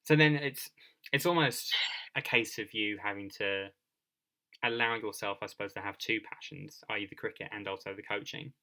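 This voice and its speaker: A man's voice: fairly low pitch, fairly even intonation, moderate pace, pushed and bright, rough recording. A man hosting a podcast interview in a conversational way, feeling his way tentatively through roundabout questions and repeating words.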